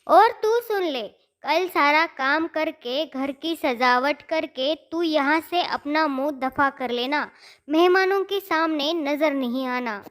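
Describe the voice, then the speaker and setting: A woman's voice: high voice, slow pace, fairly bright tone. A woman voicing a cruel, scornful stepmother who scolds with contempt in a cartoon story. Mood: bossy scolding. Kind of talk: Hindi cartoon moral story acting.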